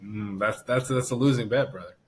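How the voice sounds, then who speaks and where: Laid-back Canadian-born man, low voice. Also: brisk. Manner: laughs often, hesitant with many ums. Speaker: guest, orthopedic surgeon. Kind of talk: casual podcast chat. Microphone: video call.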